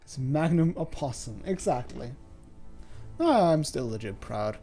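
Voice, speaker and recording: A male streamer from Switzerland chats casually and ramblingly as he plays. His voice is mellow and moves up and down a lot, over faint game music. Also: medium pitch, big pitch swings, measured pace. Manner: rambling, chatty, jokey swearing. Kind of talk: casual livestream commentary. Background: soft game music.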